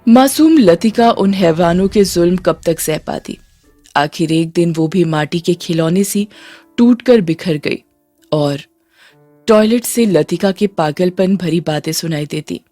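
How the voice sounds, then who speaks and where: A woman's voice: fairly low pitch, lively intonation, a little slow, warm tone, no echo, faint music behind. A woman giving dramatic scripted narration of a moral story.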